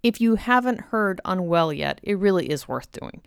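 A woman's voice: on the low side, expressive, normal speed, creaky, clean tone, dry recording, clean studio sound. A woman podcast host giving a semi-scripted introduction in an enthusiastic, emphatic manner.

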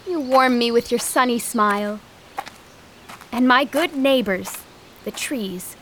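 High, lilting fairytale maiden. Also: female voice, bright, forceful tone, slight background noise, full character voice. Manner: lilting, wide-eyed, breezy. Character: dreamy, sweet, innocent fairytale maiden. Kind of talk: fairytale audio drama acting.

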